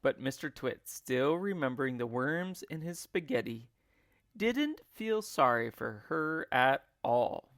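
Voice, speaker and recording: A man reading a storybook aloud like a teacher, with expressive, deliberate and emphatic phrasing. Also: mid-range voice, no echo, clean studio sound. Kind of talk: expressive read-along storybook narration.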